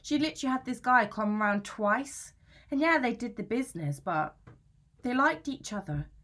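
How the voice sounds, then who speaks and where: A woman's voice: a little high, animated, moderate pace, fairly bright tone. Actress as a young british student girl, anxious n defensive, chatty slangy confessional monologue about her housemate. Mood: scornful, gossipy. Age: young adult. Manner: chatty, slangy, self-justifying. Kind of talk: dramatic confessional monologue, conversational storytelling.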